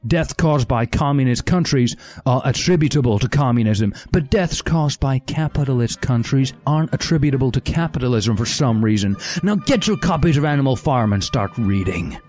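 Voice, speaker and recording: A man's voice: medium pitch, animated, normal speed, few pauses, darkish tone, soft background music, natural voice. A man voicing an evil, smug professor with a sneering manner in a satirical story. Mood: evil, gleeful.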